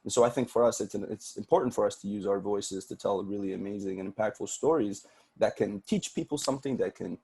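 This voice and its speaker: A man's voice: fairly low pitch, fast talker, warm tone, slightly rough. A man from Sudan whose first language is Arabic, talking earnestly as a podcast guest and often repeating words as he starts a thought. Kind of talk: casual interview talk.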